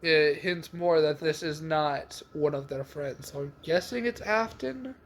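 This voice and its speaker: A man's voice: on the high side, measured pace. A man hosting a reaction video with casual, hesitant commentary, thinking aloud. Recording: loud background noise.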